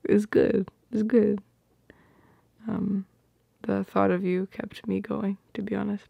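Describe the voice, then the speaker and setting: A woman playing an affectionate, teasing girlfriend in an ASMR roleplay, soft and breathy, often slipping into whispers, close to the mic. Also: mid-range voice, little pitch movement, dry recording, dull audio, full character voice. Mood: sleepy, affectionate.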